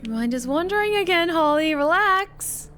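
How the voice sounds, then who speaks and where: A woman game streamer, reacting live and reading a visual novel aloud, expressive and emotionally invested. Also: very high voice, normal speed, a little hiss or hum.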